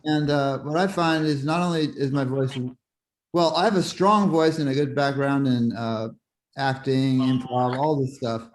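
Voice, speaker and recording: A man joining a panel over a video call to ask a question, speaking in a halting way and searching for words. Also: mid-range voice, fairly even intonation, low-quality recording. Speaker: audience member asking a question.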